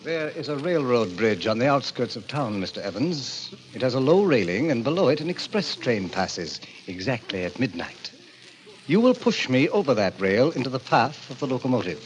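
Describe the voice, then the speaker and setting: An actor playing a suave, wealthy, middle-aged club president, a calculating villain with formal, polished speech in 1940s radio style. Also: male voice, mid-range voice, sing-song, normal speed, close to an everyday voice. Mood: calm, formal. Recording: noisy old radio recording, ambient sound effects.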